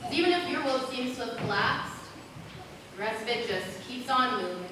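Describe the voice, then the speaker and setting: A young female student actor playing a gentle, wistful girl haunted by loss in a school stage play. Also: fairly high pitch, expressive, moderate pace, natural voice. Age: teenager or young adult. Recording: echoey noisy stage, distant mic.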